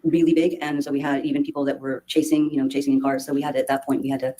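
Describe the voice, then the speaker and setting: A woman testifying as a witness in court, speaking haltingly with many restarts and fillers. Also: extremely low pitch, little pitch movement, mellow. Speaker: trial witness.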